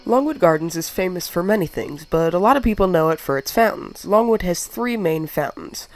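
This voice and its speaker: A man's voice: high voice, expressive, brisk, faint music behind. Stuffed-up man narrating a history video. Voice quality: nasal, congested. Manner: earnest, occasional self-corrections. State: stuffed up from a cold. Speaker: video creator.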